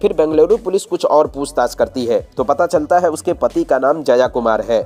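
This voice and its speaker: Bright-voiced man narrating true crime. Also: a little high, lively intonation, normal speed, faint music behind. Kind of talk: scripted Hindi true-crime storytelling narration.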